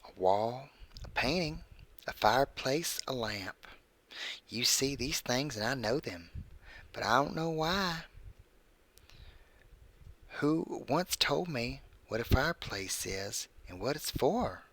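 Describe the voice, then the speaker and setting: A man's voice: medium pitch, expressive, takes it slowly, long pauses, a bit bright, dry recording, heavily acted. A man reading a creepy story in the first person as a lonely, puzzled, incorporeal spirit, in a solemn literary monologue. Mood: brooding, uneasy.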